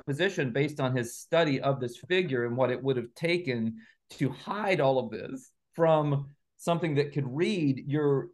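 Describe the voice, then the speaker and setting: Man, medium pitch, interview guest, methodical explainer, step-by-step delivery, video call. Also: a little mellow. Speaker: guest author and researcher.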